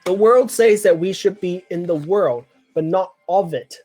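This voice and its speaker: Young man, mid-twenties, fairly high voice, casual live chat, rambling, full of filler words. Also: measured pace, a little mellow, soft background music. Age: 20s. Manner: clears throat often. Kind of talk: casual live chat reading viewer comments.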